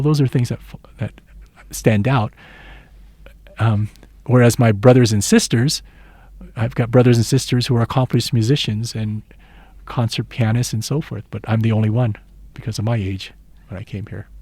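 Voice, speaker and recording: A man's voice: medium pitch, moderate pace, dark tone, no room sound. A man in his sixties, originally from the Philippines, giving thoughtful, earnest interview answers as an education professor and telling stories from his teaching. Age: 60s.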